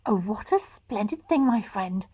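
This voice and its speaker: A woman's voice: mid-range voice, animated, measured pace, warm tone, breathy edge. A woman voicing a gallant, eager fox husband in a storybook, courteous and full of exclamations. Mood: gleeful.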